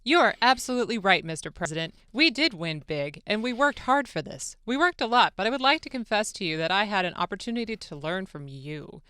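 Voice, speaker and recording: Producer acting out an eager deferential president, clear forceful voice, emphatic, flattering, dry studio dramatic reading. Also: medium pitch, fairly quick, hardly any pauses, dry recording. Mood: eager flattery. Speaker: radio producer reading a part. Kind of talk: dramatic reading of a phone-call transcript.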